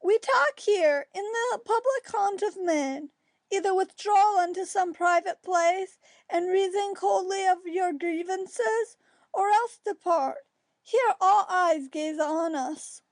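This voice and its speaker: A woman's voice: extremely high pitch, slow, no room sound, full character voice. A woman playing a cautious young man who makes peace, speaking earnest, pleading lines in a Shakespeare audiobook. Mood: urgent, pleading. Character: cautious, peace-making young male friend. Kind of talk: Shakespeare audiobook dramatic reading.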